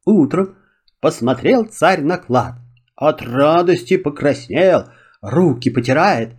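Casting: man narrating folk tales for children, unhurried, theatrical, with big melodic swings. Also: fairly high pitch, animated, darkish tone, breathy edge. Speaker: storyteller. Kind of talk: expressive children's fairy-tale narration.

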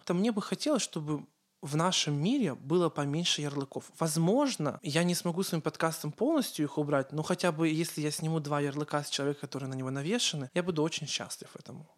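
A man from Ukraine co-hosting a casual podcast, talking in an earnest, rambling way and often correcting himself mid-sentence. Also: a little high. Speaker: podcast co-host.

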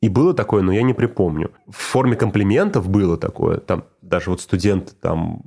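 A man's voice: low-pitched, fairly quick, vocal fry, warm tone, slightly rough. A man talking casually and reflectively, often repeating phrases for emphasis. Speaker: teacher of speech exercises.

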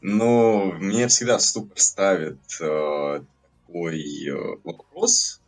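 A man's voice: deep voice, lively intonation, takes it slowly. A young man from Latvia, a podcast guest, talking casually and rambling with many fillers and hedges.

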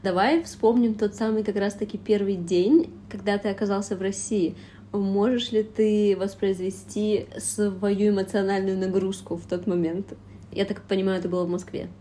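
Mellow-voiced woman interviewer. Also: mid-range voice, fairly even intonation, normal speed, slight background noise. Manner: attentive, polite and structured questioning. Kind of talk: interview questions.

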